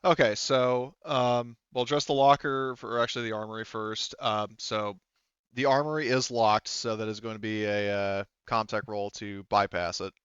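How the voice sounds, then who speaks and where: A man's voice: fairly low pitch, fairly even intonation, normal speed, a bit bright, smooth, no room sound, highs cut off. A man casually game mastering a tabletop RPG in a hesitant, conversational, wordy way.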